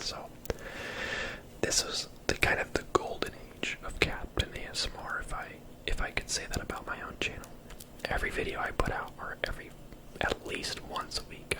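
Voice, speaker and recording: A man whispering softly in a casual, rambling, self-deprecating ASMR commentary on his own videos. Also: rough recording. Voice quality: soft breathy whisper.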